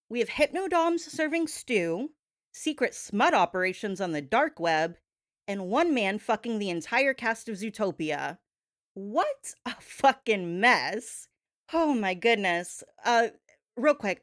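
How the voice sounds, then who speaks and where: Female gossip-show host, dramatic and sassy, very animated intonation, close dry sound, slangy emphatic delivery. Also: mid-range voice, measured pace, no echo. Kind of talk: gossip talk show monologue in homage to daytime TV hosts.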